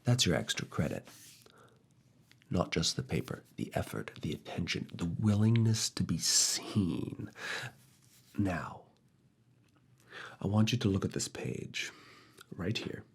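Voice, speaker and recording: Actor as male university professor, ASMR roleplay: husky, breathy, quietly seductive and exacting, slow delivery with swooping intonation and whispers. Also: expressive, heavily acted. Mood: intimate, encouraging. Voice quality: husky, breathy, low gravelly voice. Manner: slow, deliberate, pauses between short phrases, slipping into whispers. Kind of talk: ASMR roleplay, soft intimate one-to-one talk.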